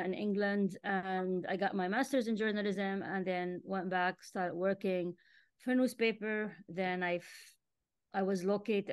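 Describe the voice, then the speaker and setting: Woman from Jordan, arabic native, forties, smooth clear voice, dry close sound, earbud mic on a video call. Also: mid-range voice, no room sound. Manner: frequent throat clearing and fillers. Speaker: interview guest, author. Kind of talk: casual video-call interview. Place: home room with bookshelves, indoors. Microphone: wireless earbuds on a video call.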